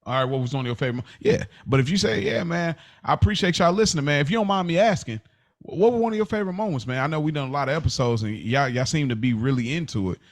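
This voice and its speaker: A man's voice: fairly high pitch, lively intonation, rapid-fire. Informal man in casual, slangy chat. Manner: informal, slangy, explains by acting out quotes. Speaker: podcast co-host.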